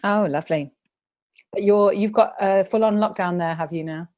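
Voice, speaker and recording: A woman's voice: medium pitch, normal speed, darkish tone. A woman hosting a podcast, interviewing a guest conversationally over a video call.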